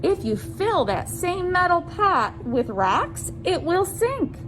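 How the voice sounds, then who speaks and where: A woman presenting a children's science storytime, reading aloud deliberately and speaking to young listeners as friends with simple questions. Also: extremely high pitch, big pitch swings, over music.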